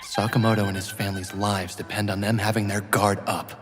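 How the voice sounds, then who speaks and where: A man's voice: fairly low pitch, level tone, fairly quick, loud music behind the voice, lightly acted. An actor playing an earnest, humorless, gloomy hitman in a comedic anime parody, serious and exasperated. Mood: glum, exasperated. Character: earnest, gloomy male poison-using hitman, humorless straight man.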